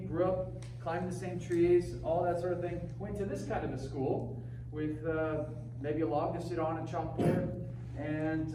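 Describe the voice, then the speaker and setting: A man, a history teacher, giving a casual talk to students, conversational and halting with many fillers. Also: on the high side. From Congo (Zaire). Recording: very echoey room, voice far off, audible noise.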